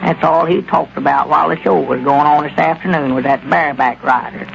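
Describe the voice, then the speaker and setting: An actor playing a country storekeeper with a folksy drawl in a 1930s radio comedy. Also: male voice, fairly high pitch, a little fast, vocal fry, faint music behind. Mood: easygoing. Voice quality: folksy rural drawl with plain country grammar. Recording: lo-fi old radio broadcast.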